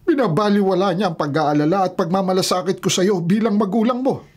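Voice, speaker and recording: A man's voice: high-pitched, a little fast, a little mellow. An actor playing a resentful, worried old grandfather in a Filipino radio drama, speaking in a plaintive, grave manner. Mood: hurt, resentful.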